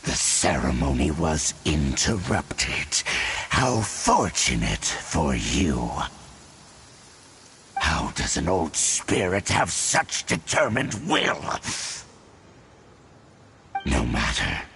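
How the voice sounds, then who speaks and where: A man voicing a cold, menacing scheming male villain in a video game, with a gravelly voice. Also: very deep voice, huge pitch swings, very slow, long pauses, a bit bright, slight background noise, heavily acted.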